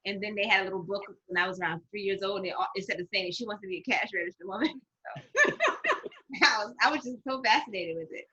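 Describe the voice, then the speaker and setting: Woman guest, breathy, bright, bubbly, lo-fi audio. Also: medium pitch, big pitch swings, fast. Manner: bubbly, giggly storytelling. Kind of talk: casual conversation.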